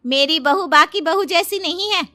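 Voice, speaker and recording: Woman playing a bright, high-voiced protective mother-in-law. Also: moderate pace, soft background music. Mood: indignant. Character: middle-aged mother-in-law, protective but quick to scold. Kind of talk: dramatized Hindi moral story for animation.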